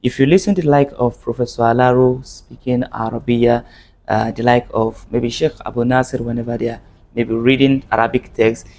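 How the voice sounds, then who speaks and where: A man gives a video lesson to camera. His pitch sits at a middle level for a man. He speaks in an earnest way, often repeating and restarting phrases as he explains. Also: steady tone, normal speed, a little mellow. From Nigeria. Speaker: Arabic teacher.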